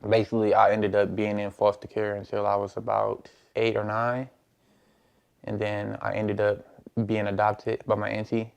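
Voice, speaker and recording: A man's voice: lowish voice, monotone, normal speed, gravelly. A man of twenty telling his own story in a casual interview. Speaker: youth who aged out of foster care.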